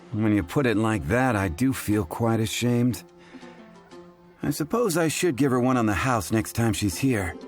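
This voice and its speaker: A man's voice: on the low side, sing-song, slow pace, faint music behind. An actor playing a wry, easygoing male bartender in video game dialogue, dry and teasing. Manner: dry, teasing, matter-of-fact.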